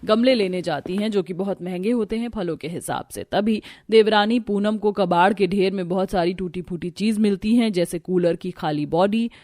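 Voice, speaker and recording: A woman's voice: medium pitch, moderate pace, hardly any pauses, warm tone, no room sound, studio quality. A woman narrator reading a scripted family story for a cartoon.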